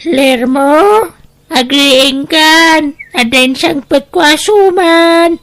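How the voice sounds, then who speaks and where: A woman with an Ilocano accent playing a sorrowful, pleading village woman in an audio drama, speaking in a drawn-out, plaintive way. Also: sits high, fairly bright tone, no echo, faint music behind, heavily acted. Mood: tender, grieving.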